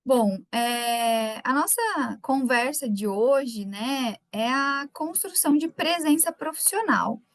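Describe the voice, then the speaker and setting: A woman's voice: sits high, expressive, takes it slowly, few pauses. Chatty woman with a light Gaúcho accent. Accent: light Gaúcho Brazilian Portuguese. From Rio Grande do Sul, Brazil. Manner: chatty, warm greetings to viewers by name. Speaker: webinar speaker. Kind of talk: live webinar talk.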